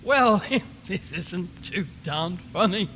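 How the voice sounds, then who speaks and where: A man's voice: high voice, lively intonation, slow, a bit bright, a little hiss or hum, pitched above the performer's own voice, exaggerated voice. A man playing a radio reporter losing his mind in a haunted house, ranting and muttering brokenly in an audio horror drama. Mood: unhinged. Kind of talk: audio horror drama acting, live broadcast monologue.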